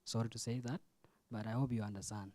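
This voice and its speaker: A man's voice: medium pitch, fairly even intonation, quick pace, dark tone, dry recording. Young South Sudanese man, dry close sound. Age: 20s. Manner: didactic, short phrases, questioning the audience. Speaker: student leader. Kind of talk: impromptu forum speech.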